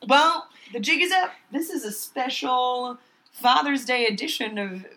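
A young woman co-hosts a chatty podcast, her voice bright and a touch tense, with a slight rasp. She laughs a lot and throws in playful asides. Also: sits high, measured pace, low-quality recording. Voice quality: slightly raspy today. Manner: bubbly, laughs often, jokey asides. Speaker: podcast co-host. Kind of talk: casual chat.

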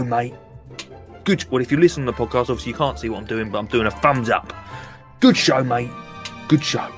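A podcast host doing a playful, chatty ad break for his own show. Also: a little high. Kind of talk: jokey spoken ad break.

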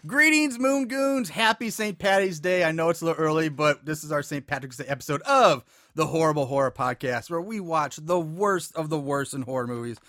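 A man's voice: fairly high pitch, brisk, a bit bright, dry recording, studio quality. A man hosting a podcast in a casual, profane chatting style. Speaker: podcast host. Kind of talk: casual chat about bad horror movies.

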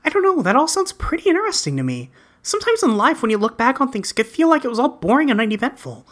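A woman's voice: on the high side, very animated, fast talker. A woman voicing an earnest, rambling teenage boy in a webcomic dub, breezily recounting his adventures. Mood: earnest, friendly. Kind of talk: character dub reading of a webcomic.

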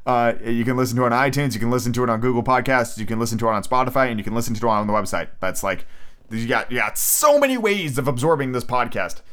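A man's voice: mid-range voice, animated, fast, a bit bright. A man hosting his own podcast in a casual, rambling solo chat, full of fillers and restarts. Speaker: podcast host.

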